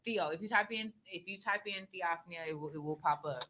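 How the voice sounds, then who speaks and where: A woman co-hosting a podcast who jumps in with loud, playful interjections. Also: fairly low pitch, fairly quick. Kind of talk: casual chat.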